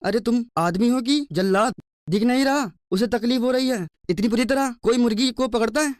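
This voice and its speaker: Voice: medium pitch, normal speed, no echo, natural voice. Someone doing a poor kindhearted village labourer, stubborn husband, cartoon, dry sound. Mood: indignant. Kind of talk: cartoon dialogue.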